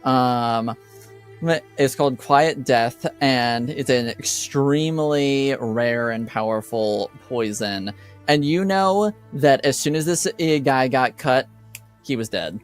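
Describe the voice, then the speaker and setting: A man speaking as a game master in a casual, halting way, pausing mid-sentence as he narrates. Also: medium pitch, clear, resonant tone, soft background music.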